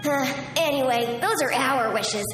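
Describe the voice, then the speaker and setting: Reverberant, far-off game memory: earnest, eager small child, extremely high, music behind. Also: female voice, a little slow. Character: eager, hungry, good-natured small child. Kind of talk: video game voice acting. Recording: echoey, distant flashback reverb over music.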